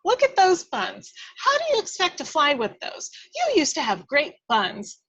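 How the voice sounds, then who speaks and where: A woman's voice: high voice, huge pitch swings, moderate pace, a bit bright, pitched above the performer's own voice, heavily acted. A woman playing a tiny, feisty, bossy fairy with a scornful, theatrical delivery in a script read-through. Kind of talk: hobby table read acting.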